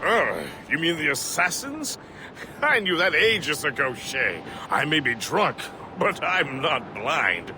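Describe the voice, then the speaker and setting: An actor playing a jovial, tipsy middle-aged ship's mate in a video game, wordy and teasing. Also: male voice, fairly high pitch, big pitch swings, takes it slowly. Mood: candid, amused. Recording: outdoor noise behind the voice.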